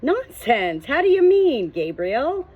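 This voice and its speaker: A nervous, thin man who runs a village pub , as a woman audiobook reader puts him on. He chatters in colloquial, eager-to-please speech, pitched up. The sound is slightly dull, with music behind. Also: very animated, slow pace, exaggerated voice. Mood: alarmed. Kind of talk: audiobook character dialogue.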